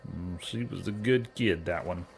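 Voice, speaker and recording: An actor playing a naive, earnest young cowboy outlaw weighed down by guilt, with a folksy rural American Western drawl, in a comedy western audio drama. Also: male voice, sits low, unhurried, vocal fry, slightly rough, exaggerated voice. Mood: wistful. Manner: folksy, ungrammatical bumpkin talk.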